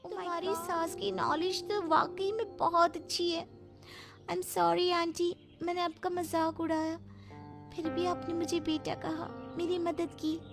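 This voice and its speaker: A woman's voice: very high-pitched, little pitch movement, measured pace, vocal fry, over music, full character voice. A woman voicing a showy young modern socialite in a cartoon, with a gushing, dramatic manner. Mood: grateful, confiding.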